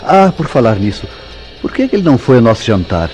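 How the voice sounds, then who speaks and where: A man's voice: medium pitch, sing-song, fast talker, darkish tone, soft background music, close to an everyday voice. A man playing an earnest, brooding newlywed husband in a vintage Brazilian radio soap, with theatrical, formal diction. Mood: probing, uneasy. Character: tender but suspicious, brooding newlywed husband Mauro.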